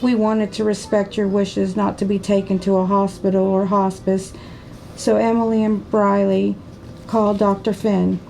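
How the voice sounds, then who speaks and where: In an audiobook, a gentle older mother speaks in a slow Southern accent, as a male narrator puts her on. Her voice is soft and gravelly, dark and mellow, and she stays soothing while holding back tears. Her pitch barely moves. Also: little pitch movement, slight background noise, rough recording. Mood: tender, grieving. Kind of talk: audiobook character voice.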